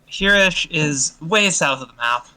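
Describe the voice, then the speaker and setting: A man's voice: on the high side, lively intonation, measured pace, sharp tone. A man from the northern United States bantering casually as a tabletop game player.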